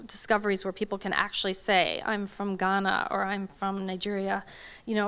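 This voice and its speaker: A woman's voice: mid-range voice, fairly bright tone, clear, resonant tone. A middle-aged woman answering audience questions from a podium microphone in a large hall, hesitant with many fillers and restarts. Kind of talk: academic Q&A talk.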